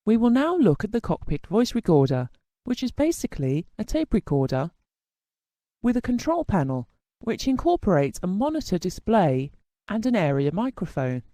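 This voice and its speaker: A formal instructional narrator delivers a scripted technical lesson in a measured, explanatory manner. The voice is soft-edged and mellow, with animated rises and falls in pitch. The sound is close and dry. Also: dry recording.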